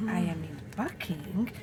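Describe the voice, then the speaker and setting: A woman plays an excitable wartime packer in a radio drama. She gossips dramatically at a slow pace with lively pitch, on a very noisy recording. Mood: scandalised. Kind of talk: amateur radio play acting. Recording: loud background noise.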